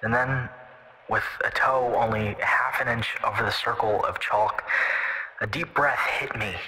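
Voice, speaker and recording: A man playing a bright-voiced radio guest. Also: mid-range voice, steady tone, poor audio. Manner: measured storytelling. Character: male radio guest telling his ghost story. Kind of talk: audio drama storytelling.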